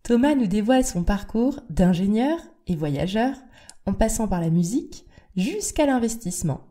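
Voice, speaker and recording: A woman's voice: medium pitch, lively intonation, normal speed, dark tone. A woman hosting a podcast interview, reading a scripted intro then chatting in a friendly way with fillers. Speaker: podcast host.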